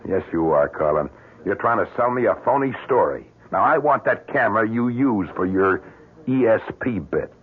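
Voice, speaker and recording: An actor playing a hard-nosed police inspector in a radio drama, interrogating in blunt slang, pushy and sceptical. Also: male voice, lowish voice, expressive, normal speed, breathy edge. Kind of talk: old-time radio drama acting. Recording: narrow-band vintage radio recording.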